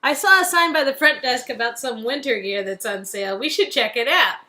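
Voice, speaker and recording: A woman's voice: high voice, lively intonation, brisk, sharp tone, soft background music. A woman playing a playful, affectionate young woman in an interactive story game, with a theatrical, teasing manner. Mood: eager, nervous.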